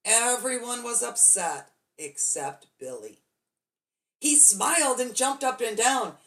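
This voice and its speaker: A woman's voice: a little high, very animated, slow pace, a bit bright. A woman storyteller reading a children's bedtime story expressively, drawing out key words for dramatic emphasis.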